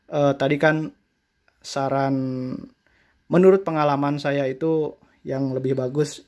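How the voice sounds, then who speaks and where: A man casually explaining products to viewers, pausing often with fillers. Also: mid-range voice. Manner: hesitant, many fillers, addresses viewers as friends. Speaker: shop owner reviewing walkie-talkies. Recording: echoey room.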